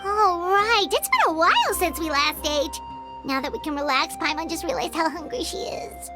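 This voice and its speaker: A woman voicing a tiny, perky, curious childlike girl companion in a video game, with a squeaky voice. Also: expressive, normal speed. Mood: cheerful. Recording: loud music under the voice.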